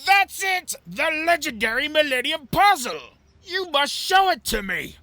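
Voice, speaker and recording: A man's voice: very high voice, sing-song, unhurried, bright, forceful tone, dry recording, full character voice. A man playing a greedy, effusive, wheedling museum owner in a theatrical audio drama. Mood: gushing, pleading. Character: gushing, greedy, self-centred male museum owner, scheming.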